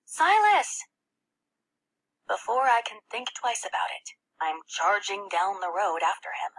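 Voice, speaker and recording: An earnest young schoolgirl telling her own story in an audiobook. The voice is bright and husky with plenty of breath in it. The reading is tender and expressive, dropping into hushed passages. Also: mid-range voice, measured pace, exaggerated voice, rough recording. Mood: urgent calling. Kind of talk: first-person audiobook narration.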